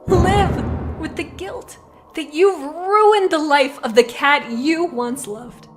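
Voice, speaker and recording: A woman plays a young she-cat warrior, righteous and betrayed. Her high voice is slow and cutting, heavy with disgust, in an animated drama with music underneath. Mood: cold contempt. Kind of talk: animated fan drama voice acting. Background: dramatic background music.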